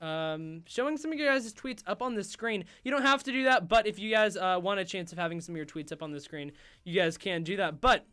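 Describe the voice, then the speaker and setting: High-voiced young man, hoarse and rambling. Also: a little fast, pushed and bright. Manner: rambling, filler-heavy, self-interrupting. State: losing his voice. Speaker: host. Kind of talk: casual livestream chat while gaming.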